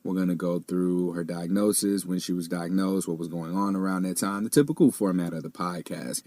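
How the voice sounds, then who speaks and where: A man's voice: low voice, mellow, no echo. A man in his thirties hosting a podcast in a casual, rambling way, laughing easily at his own asides. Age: 30s. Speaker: podcast host.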